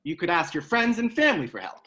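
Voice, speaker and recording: Casting: actor for blunt, dry male career counselor with animated pitch movement, table-read drama. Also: fast talker, heavily acted. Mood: dry, condescending. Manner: deadpan, blunt. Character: blunt, dryly amused job counselor, a man.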